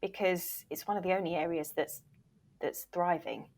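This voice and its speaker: A woman's voice: fairly low pitch, normal speed, a little mellow. A woman giving candid, emphatic answers as a guest in a conversational interview. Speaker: podcast guest, voiceover reel producer and former agent.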